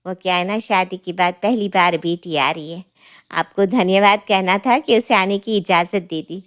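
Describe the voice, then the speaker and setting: A woman's voice: on the low side, moderate pace, lightly acted. A woman voicing a polite, grateful mother of a bride in a story, courteous and chatty.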